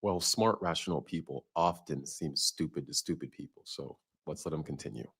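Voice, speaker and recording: Very deep, gravelly man rebutting calmly. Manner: calm, measured rebuttal. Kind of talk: commentary narration in a video clip.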